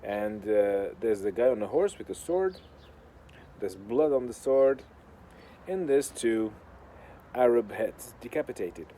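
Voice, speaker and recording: A man from Portugal with a Portuguese accent rambles casually as a video host, with a deadpan, sardonic, sweary manner. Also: on the low side, unhurried. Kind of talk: casual rambling talk to camera. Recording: clear outdoor urban construction noise. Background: noise of building works.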